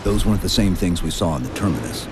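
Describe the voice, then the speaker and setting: A man's voice: low-pitched, animated, quick pace, very noisy. A man playing a stoic, laconic super-soldier in a science fiction video game. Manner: laconic, restrained questioning. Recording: game music and effects behind.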